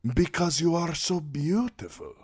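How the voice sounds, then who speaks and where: A man voicing a swaggering, flamboyant male sellsword captain, delivering lines with drawn-out theatrical flair. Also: fairly high pitch, lively intonation, darkish tone, slightly rough, pitched above the performer's own voice, full character voice. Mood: boastful.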